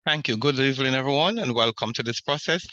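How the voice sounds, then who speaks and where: Middle-aged man, very bright tense voice, formal, dry muffled video-call sound. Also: medium pitch, quick pace, no room sound. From Jamaica. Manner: steady, formal, reads from slides. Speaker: superintendent candidate. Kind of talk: prepared self-introduction.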